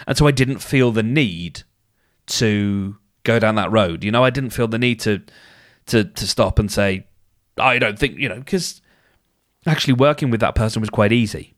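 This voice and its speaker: A man with a hoarse, somewhat low voice hosts a solo podcast in a relaxed, chatty way. He thinks out loud and often doubles back mid-sentence. He speaks close to the microphone in a dry, dead room. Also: normal speed, no room sound. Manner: chatty, thinking aloud with frequent restarts. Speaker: podcast host. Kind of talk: casual solo podcast advice.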